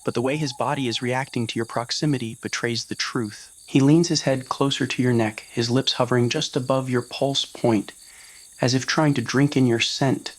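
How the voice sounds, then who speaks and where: Casting: narrator with a medium-low, unclear-gendered voice for scripted romantic roleplay fiction. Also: fairly even intonation, normal speed. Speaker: narrator of fan roleplay audio stories.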